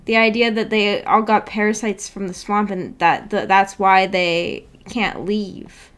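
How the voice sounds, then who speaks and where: A woman hosting a casual livestream discussion, musing tentatively out loud with a giggly manner. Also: medium pitch, unhurried, smooth. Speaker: host of a book club stream.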